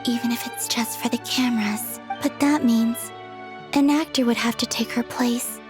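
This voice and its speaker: Soft-natured game heroine, played by a woman: high voice, earnest and dreamy delivery, scored with music. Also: fairly even intonation, normal speed, lightly acted. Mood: wistful. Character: gentle, earnest, dreamy young woman, softly sincere. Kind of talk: English anime game voice acting. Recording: music playing behind the voice.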